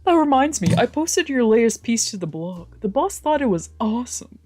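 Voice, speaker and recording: Woman voicing a breezy, higher-pitched caring friend. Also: very animated, moderate pace, darkish tone, dry recording, soft background music. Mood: breezy, concerned.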